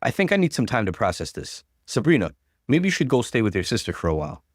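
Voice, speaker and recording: A hurt, confused wife in a story reading, played by a male narrator. The delivery is hesitant and guarded, with lively movement in pitch. The sound is dry and close. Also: fast talker, dry recording. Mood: withdrawn. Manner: hesitant, faltering.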